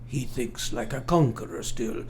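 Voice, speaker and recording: A man's voice: medium pitch, a little slow, a little mellow, close to an everyday voice. A man acting a grave, protective older vampire-hunting scholar in a radio play, speaking in formal, old-fashioned phrasing with foreign-sounding grammar. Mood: grave, resolute.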